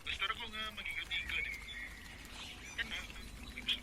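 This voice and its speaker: An actor plays a friendly provincial Filipino man with a Bisaya accent, casual in his radio drama talk. His voice sits a little high, over very loud outdoor ambience. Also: a little fast, heavy background noise, close to an everyday voice.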